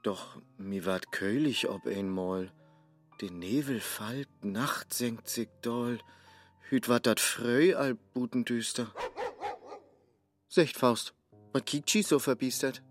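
An actor playing a suave, mocking companion in a rhymed radio play, jaunty and theatrical, with a Low German accent. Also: male voice, takes it slowly, lightly acted. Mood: teasing. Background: music.

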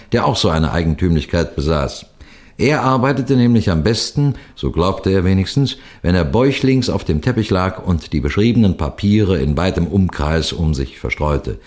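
A man playing a seasoned, composed police detective who narrates a 1960s radio crime drama in a measured, precise, formal way. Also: deep voice, darkish tone. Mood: composed storytelling. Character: seasoned male police detective telling his cases, composed, authoritative.

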